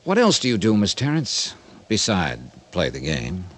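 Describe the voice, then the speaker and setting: An actor playing a cool, persistent insurance investigator in a 1950s radio drama, with an American accent and a wry, unflappable way of probing. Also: male voice, on the low side, huge pitch swings, normal speed, a bit bright, full character voice. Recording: old radio broadcast recording.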